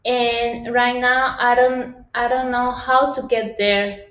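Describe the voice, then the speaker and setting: A woman's voice: on the high side, level tone, slow, a bit bright. A woman in her thirties from Costa Rica with a Spanish accent, sharing her experience in a video call, hesitant with many restarts and fillers. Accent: Costa Rican Spanish. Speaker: participant in online session. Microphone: laptop video call. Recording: roomy, dull video-call audio.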